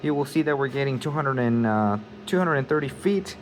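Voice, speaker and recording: A man's voice: mid-range voice, animated, fairly quick. A man giving a casual, explanatory walkthrough of installation work, speaking informally and directly to viewers as an installer. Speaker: installer narrating job site video. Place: work site, partly outdoors. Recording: noisy job-site ambience, vehicle noise.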